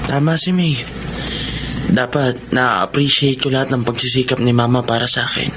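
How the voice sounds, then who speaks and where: A man's voice: medium pitch, fairly even intonation, normal speed, noisy background, close to an everyday voice. A man playing a sensitive, discontented but loving teenage son in a Filipino radio drama, with a sincere, youthful delivery. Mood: remorseful. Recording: clear music bed under voice.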